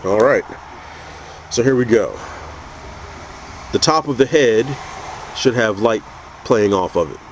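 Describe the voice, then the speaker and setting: A man with a stuffy, congested voice from a cold, calmly explaining a painting technique step by step with frequent restarts. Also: lowish voice, slow pace, pauses often, slight background noise. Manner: calm, methodical, with frequent restarts. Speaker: custom figure painter teaching. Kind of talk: painting tutorial narration.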